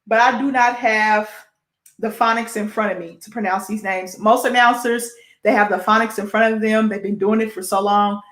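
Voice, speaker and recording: A woman's voice: medium pitch, steady tone, moderate pace, fairly bright tone, echoey room. A woman with an American Midwest accent hosting a sports show casually, chatty and self-deprecating as she reads through lists and sounds out names.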